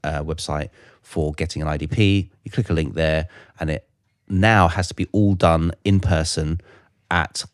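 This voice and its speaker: Deep-voiced British man, close and dry. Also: fairly even intonation, moderate pace, no room sound. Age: middle-aged. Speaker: podcast co-host. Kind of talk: casual podcast discussion.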